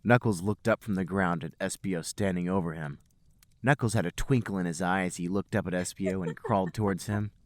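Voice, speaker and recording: A man's voice: low voice, brisk, no echo. A man, a podcast host, reading fan fiction aloud as a storybook-like narration.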